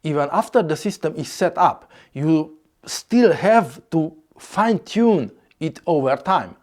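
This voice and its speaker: Slow-speaking man with a Hungarian accent. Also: a little high, expressive, a little mellow. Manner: measured, slightly non-native phrasing. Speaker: YouTuber. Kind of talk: scripted vlog narration.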